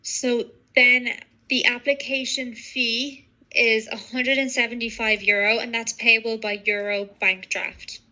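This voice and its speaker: A woman's voice: on the high side, level tone, unhurried, pushed and bright, rough recording. A woman working as a legal adviser, methodically explaining procedures to a client on a professional call. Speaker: legal adviser on citizenship applications.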